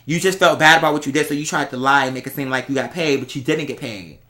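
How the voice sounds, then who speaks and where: A speaker chatting casually to viewers as host, telling stories in a sassy, slangy, exclamatory way with drawn-out emphasis. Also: mid-range voice, sharp tone. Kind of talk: casual storytelling chat to viewers.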